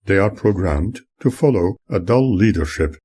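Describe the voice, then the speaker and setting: A man reading his own memoir as scripted audiobook narration, in a formal, emphatic and deliberate manner. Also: low-pitched, expressive, mellow, slightly rough.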